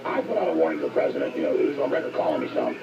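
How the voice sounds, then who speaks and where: A very gravelly male interviewer with a lively, swooping delivery, pushing his points insistently and repeating himself. He sounds distant on a dull, noisy radio playback. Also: a bass voice, very fast, a crowd in the background. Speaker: talk show host interviewing a guest. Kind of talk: forceful broadcast interview.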